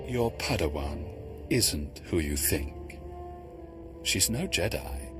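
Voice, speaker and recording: An actor playing a mocking, formal Sith villain in a video game, speaking in measured, taunting phrases. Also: male voice, low voice, expressive, over music. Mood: smug menace. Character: smooth, mocking Sith villain, a zealous servant of an emperor. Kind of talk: dramatic video game acting.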